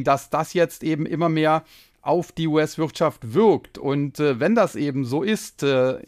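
A man from Germany hosting a solo finance podcast, explaining at length with many hesitations, his voice slightly hoarse from a cold. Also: a little high. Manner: explanatory, frequent filler hesitations. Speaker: podcast host.

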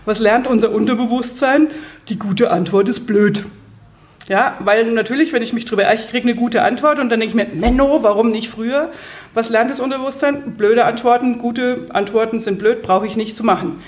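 A woman's voice: on the high side, a little fast, darkish tone. A woman from Munich with a Bavarian accent, a coach speaking as an interview guest in a blunt, earthy way and laughing easily. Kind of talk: casual interview talk.

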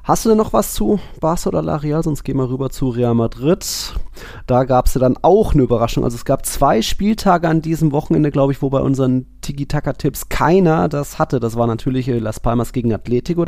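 Man, dark gravelly voice, close dry sound, casual football podcast co-host, conversational. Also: mid-range voice, no room sound. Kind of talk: casual football analysis chat.

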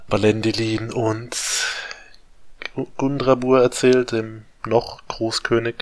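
A man on a casual podcast, recapping a book chapter in a rambling way with many fillers and restarts. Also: lowish voice. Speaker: podcast co-host summarizing a book.

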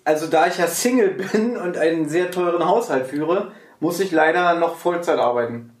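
A somewhat high-voiced man from Berlin banters on a casual podcast, chatty and quick to laugh as he teases his co-host. His voice rings in a noticeably echoey room. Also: expressive. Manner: chatty, laughs often, teasing.

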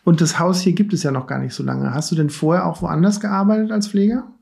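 A man's voice: fairly high pitch, fast talker, gravelly, a little mellow. A man hosting a podcast, asking long, chatty questions in a casual tone. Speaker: podcast host. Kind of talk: casual interview chat.

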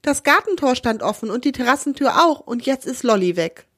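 A hoarse woman voicing a worried father in a children's story. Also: high-pitched, expressive, fairly quick, a bit bright, no echo, studio quality, pitched above the performer's own voice, full character voice. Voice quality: hoarse from a cold. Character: worried father calling for the dog.

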